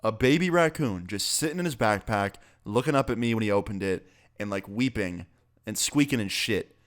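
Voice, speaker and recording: A man's voice: lowish voice, lively intonation, moderate pace. A young man, a bit sick, telling a casual, profane story on his vlog. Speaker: YouTuber.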